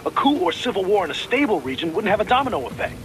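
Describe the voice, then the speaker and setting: High-pitched, sceptical analyst. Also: male voice, sing-song, fast, over music. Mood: sceptical, concerned. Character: sceptical, analytical male support teammate, earnest. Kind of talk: dramatic video game acting.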